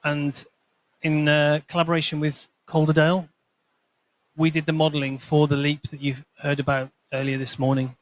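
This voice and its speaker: A man's voice: on the high side, monotone, normal speed, no room sound, poor audio. A man presenting to a conference audience, explaining with a bit of storytelling and light humor. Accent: not Scottish. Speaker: conference speaker, consultancy manager.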